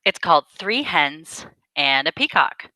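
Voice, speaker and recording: A woman reads a picture book aloud for children. Her voice is slightly low but sweeps expressively, with an unhurried, dramatic delivery. The recording is dry. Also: big pitch swings, sharp tone, no room sound, low-quality recording. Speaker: storyteller reading to children.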